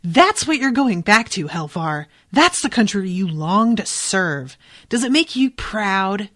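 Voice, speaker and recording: A woman's voice: mid-range voice, big pitch swings, unhurried, a bit bright. A woman voicing a fiery, defiant young woman from a novel, impassioned, accusatory and scornful. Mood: bitter, impassioned. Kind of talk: audiobook-style novel reading.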